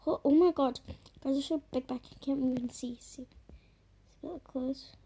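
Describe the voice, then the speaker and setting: Woman gamer, croaky, high and soft-toned, loose filler-laden commentary. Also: steady tone, moderate pace. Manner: rambling, filler-heavy, trails off into murmurs. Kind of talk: casual gameplay commentary.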